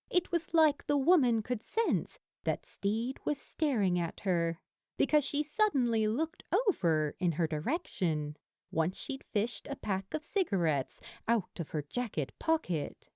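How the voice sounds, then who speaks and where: A woman reading a scripted story as narrator in an expressive storytelling style. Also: a little high, dry recording, clean studio sound. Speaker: fan fiction narrator.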